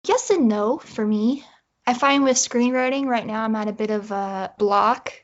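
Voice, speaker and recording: A Canadian woman chats casually as an interview guest about her own work. Her voice is on the high side. The recording is somewhat muffled and lo-fi. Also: moderate pace. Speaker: guest writer. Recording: dull lo-fi audio.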